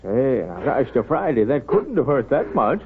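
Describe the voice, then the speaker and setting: An actor playing a wry, kindly small-town doctor with a folksy, unhurried manner in a 1930s radio drama. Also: male voice, medium pitch, big pitch swings, slight background noise, close to an everyday voice. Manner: folksy, wry, unhurried, hesitant drawn-out asides. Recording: thin, tinny 1930s radio recording.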